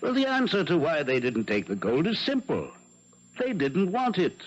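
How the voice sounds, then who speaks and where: An actor playing a shrewd, condescending French amateur detective in a 1970s radio drama, musing theatrically and patronizingly. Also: male voice, a little high, huge pitch swings, normal speed. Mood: condescending, probing. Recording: dull 1970s radio broadcast.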